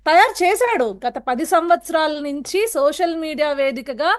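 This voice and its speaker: A woman with a very high, piercing pitch. She argues as a guest on a political TV panel. Her delivery is forceful and accusatory, full of rhetorical questions. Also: expressive, normal speed. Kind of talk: heated television political debate.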